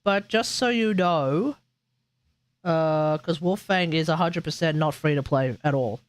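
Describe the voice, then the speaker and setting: A man's voice: a little high, measured pace. A man who streams games, giving casual, slangy commentary and bluntly thinking aloud as he reviews. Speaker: game streamer.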